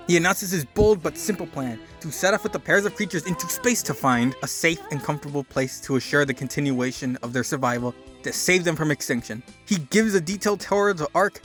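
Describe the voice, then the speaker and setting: A man's voice: a little high, a bit bright. A man giving a theatrical, emphatic dramatic reading of a written story. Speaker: narrator doing a dramatic reading. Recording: music behind the voice. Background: music.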